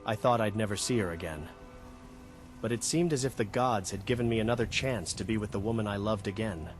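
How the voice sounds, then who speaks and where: Earnest elf adventurer, acted by a man, lowish voice, reflective and measured game dialogue over faint music. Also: close to an everyday voice. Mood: earnest, wistful. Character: male wood elf companion, earnest lovelorn adventurer. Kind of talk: scripted game companion dialogue. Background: game music behind the voice.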